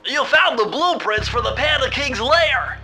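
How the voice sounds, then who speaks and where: A man voicing a nerdy young turtle tech genius in an animated game, bookish, precise and enthusiastic, with a nasal voice. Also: very high voice, measured pace, heavily acted, rough recording. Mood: eager, instructive. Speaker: mission guide to the hero. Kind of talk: animated video game cutscene acting. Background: game music.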